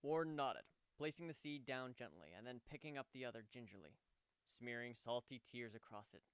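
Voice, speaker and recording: A man's voice: mid-range voice, animated, moderate pace, clear, resonant tone, dry recording. A man narrating fan fiction as a dramatic storybook reading.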